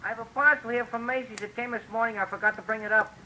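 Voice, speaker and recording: A man's voice: very high voice, steady tone, fast, pushed and bright, a little hiss or hum, full character voice, poor audio. An actor playing a polite building doorman, in 1940s radio drama style, matter-of-fact. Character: polite male doorman, plain and helpful.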